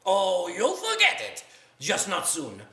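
An actor playing a boastful male painter who narrates a children's musical, with a gravelly, theatrical voice that teases the listeners. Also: mid-range voice, huge pitch swings, slow, echoey room. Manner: grandiose, teasing, addresses listeners.

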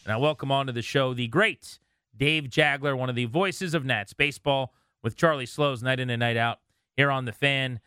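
A man co-hosts a sports show with conversational commentary. His voice is bright and crisp at a medium pitch, picked up dry on a close microphone. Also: normal speed, no echo.

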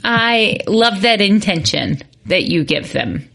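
A woman's voice: medium pitch, lively intonation, takes it slowly, vocal fry, fairly bright tone. A woman hosting an interview, upbeat and encouraging, laughing along with her guest. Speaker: podcast host.

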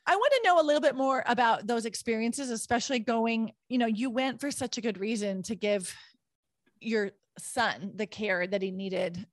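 A woman hosting a podcast interview, upbeat and quick to laugh, speaking in fast bursts. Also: mid-range voice, no room sound, clean studio sound. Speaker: podcast host.

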